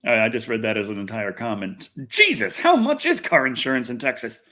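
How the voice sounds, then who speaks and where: Animated man, wry and exasperated. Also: medium pitch, fairly quick. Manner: wry, exasperated, sweary griping. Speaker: livestream host. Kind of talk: casual rambling livestream chat, reading comments.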